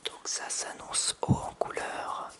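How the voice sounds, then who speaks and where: Slow whispering man in his thirties. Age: 30s. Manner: soft whisper with hesitant restarts. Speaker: ASMR creator. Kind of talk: ASMR whispering. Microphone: close binaural mic with hand sounds.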